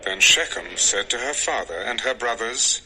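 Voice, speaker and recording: A man's voice: mid-range voice, expressive, normal speed, sharp tone, a little hiss or hum, low-quality recording. A man narrating a dramatized scripture story from a script.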